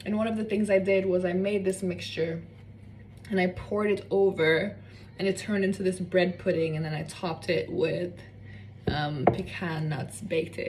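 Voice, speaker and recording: A young woman from Norway, chatting casually and rambling off on tangents while she cooks in her home kitchen. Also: lowish voice. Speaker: cooking vlogger. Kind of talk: casual cooking vlog chat. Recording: slightly distant mic with faint room noise.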